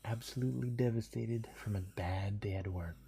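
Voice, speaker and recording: A man hosting a livestream, whispering gently and offering soft, comforting affirmations in an ASMR style. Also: fairly low pitch, moderate pace, gravelly, a little hiss or hum. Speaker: livestream host.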